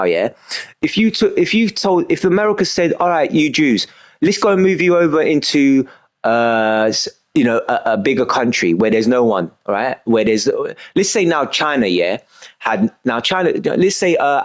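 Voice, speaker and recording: A young man streaming a game and talking in an emphatic, repetitive way, often filling with "you know what I mean". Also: medium pitch. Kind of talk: casual rambling monologue while gaming.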